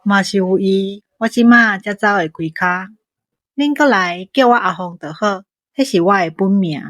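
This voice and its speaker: A woman's voice: mid-range voice, moderate pace, no room sound, lightly acted. A woman with a Taiwanese accent playing a cheerful young village shopkeeper in an audio drama. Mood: cheerful, reflective.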